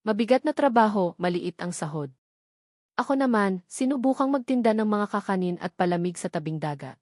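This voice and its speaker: Female narrator for romantic audio drama, medium pitch, emotional scripted first-person delivery, very dry sound. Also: lively intonation, fairly quick, darkish tone, no room sound. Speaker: story narrator.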